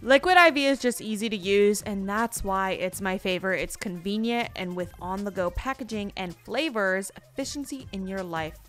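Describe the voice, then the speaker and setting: A woman's voice: medium pitch, moderate pace, few pauses, fairly bright tone, faint music behind. A woman co-hosting a podcast, speaking in an informal, jokey, conversational style. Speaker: podcast co-host. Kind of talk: casual chat and ad reads.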